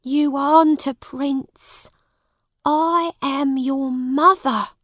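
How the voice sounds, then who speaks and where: A woman's voice: high voice, fairly even intonation, very slow, fairly bright tone, no room sound, clean studio sound, voice pushed up, heavily acted. A woman voicing a tender, worried mother in a storybook, speaking in an emphatic, pleading way. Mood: pitying, pleading.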